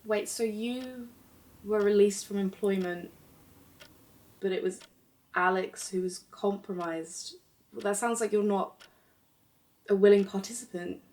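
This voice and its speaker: A woman playing a careful, probing interviewer who questions deliberately in an audio drama, heard slightly away from the mic. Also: mid-range voice, darkish tone, recorded from a distance, natural voice. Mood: concerned, probing. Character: careful, probing female interviewer drawing out a confession.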